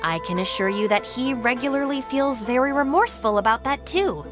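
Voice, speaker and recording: An actress playing a poised, responsible young gang deputy in a video game, speaking politely, formally and persuasively. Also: female voice, fairly high pitch, animated, fairly quick, music in the background, natural voice. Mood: earnest, persuasive.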